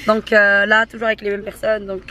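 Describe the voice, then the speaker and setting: A woman's voice: mid-range voice, level tone, brisk, bright, forceful tone, slight background noise. A young woman in her twenties, chatty and upbeat, vlogging casually with a camera held at arm's length. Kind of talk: casual vlog chat to camera. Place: busy restaurant, later a car.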